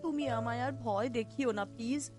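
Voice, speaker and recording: Woman playing an anxious, high-voiced village wife. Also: normal speed, lightly acted. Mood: fearful. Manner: pleading, worried questioning. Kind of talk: cartoon voice acting. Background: background music.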